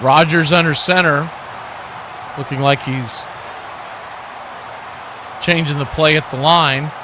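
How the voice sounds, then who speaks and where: A man's voice: on the high side, steady tone, takes it slowly, noisy background. A man calling live football play-by-play as host, in clipped, telegraphic phrases. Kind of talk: live sports play-by-play commentary.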